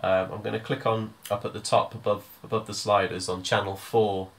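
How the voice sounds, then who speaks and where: Male tutor, lower register, informal step-by-step software walkthrough with a hesitant, thinking-as-he-goes delivery. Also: level tone, a little fast. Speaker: music tutor.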